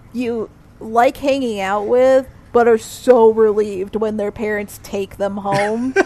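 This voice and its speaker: Chatty woman guest host; pitched a bit high, rambles, quick to laugh. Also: darkish tone. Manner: rambling storyteller, laughs often. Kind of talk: casual podcast chat.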